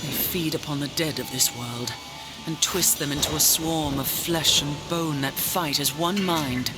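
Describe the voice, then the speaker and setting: A man voices a weary angelic soldier in a fantasy video game. His voice sits slightly high for a man, with solemn, formal and portentous phrasing. Loud music runs beneath him. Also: measured pace, lightly acted, low-quality recording. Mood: grave. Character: weary, solemn male angel warrior of fallen order. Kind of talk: video game cutscene acting.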